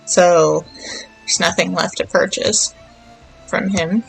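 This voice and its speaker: A woman's voice: on the low side, steady tone, takes it slowly, vocal fry, faint music behind, low-quality recording. A woman chatting casually as she streams a game, rambling and thinking aloud. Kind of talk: casual livestream chat while playing.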